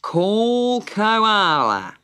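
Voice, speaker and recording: A woman voicing a solemn, pompous male pelican in a children's story, with formal, drawn-out pronouncements. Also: medium pitch, big pitch swings, fairly bright tone, voice pushed up, heavily acted. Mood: solemn, stern.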